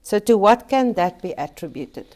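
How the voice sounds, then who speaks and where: A woman working in HR, calmly asking prepared interview questions. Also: medium pitch, moderate pace. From South Africa. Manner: composed, reads prepared questions. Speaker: HR business partner, interviewer.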